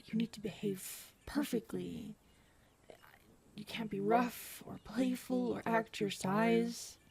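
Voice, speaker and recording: A woman playing a big, earnest predatory alien woman in an intimate audio roleplay, soft and hesitant, often murmuring. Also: on the high side, fairly even intonation, slow pace, gravelly, slightly rough, exaggerated voice. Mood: earnest, wounded. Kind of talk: audio roleplay acting, addressed to listener.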